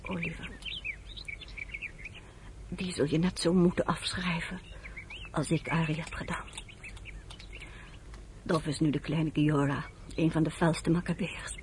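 A woman's voice: low-pitched, fairly even intonation, unhurried, long pauses. An actress playing an earnest woman who speaks with tender, pleading persuasion in a radio drama. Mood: grave, gentle. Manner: pleading, affectionate, emphatic. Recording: noisy old radio play recording.

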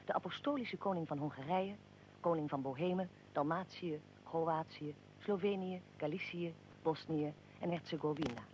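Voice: mid-range voice, moderate pace, a little mellow, a little hiss or hum, close to an everyday voice, low-quality recording. A performer playing a probing, well-prepared interviewer and narrator in a historical radio drama, formal and articulate. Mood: formal, narrating.

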